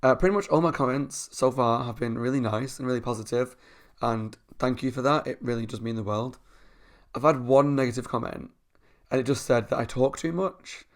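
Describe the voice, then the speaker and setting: A young man hosting a reaction channel at home, chatting casually with a croaky, sore voice and frequent laughs. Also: mid-range voice, fairly quick. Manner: chatty, talks a lot, laughs often.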